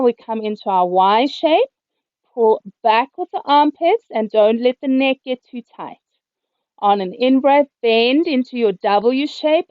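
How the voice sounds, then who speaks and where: A woman teaches a yoga class in a calm, steady voice that is slightly high and a little hoarse. She cues each breath and movement as she talks. The sound is dry and dull, coming through a video call. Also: no room sound. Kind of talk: guided yoga instruction. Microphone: computer mic on a video call.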